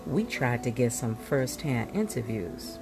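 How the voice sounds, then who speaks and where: A woman reading a nonfiction book aloud in a dramatic, deliberate way, leaning on words and leaving emphatic pauses. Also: a bass voice, very animated, music in the background. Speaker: book reader.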